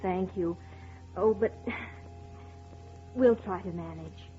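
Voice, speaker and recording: An actress playing a gentle, anxious young wife in an old-time radio drama. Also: female voice, lowish voice, takes it slowly. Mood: polite. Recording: dull old radio recording with music.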